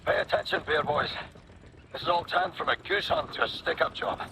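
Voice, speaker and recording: An actor playing a commanding officer giving a forceful briefing in a video game. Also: male voice, fairly high pitch, fairly quick, noisy background, close to an everyday voice.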